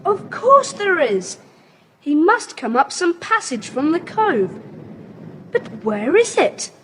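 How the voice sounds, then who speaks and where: An eager, inquisitive British child with a very high, lively voice that swings up and down. It is emphatic children's audio drama acting on a faintly hissy tape. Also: female voice, slow pace, full character voice. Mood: intrigued, excited. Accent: British English.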